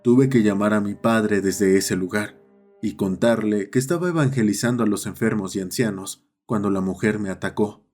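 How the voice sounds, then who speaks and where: A man playing a schoolboy who narrates his own horror story in a measured, serious first-person style. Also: lowish voice, a little mellow, faint music behind, natural voice. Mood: sombre.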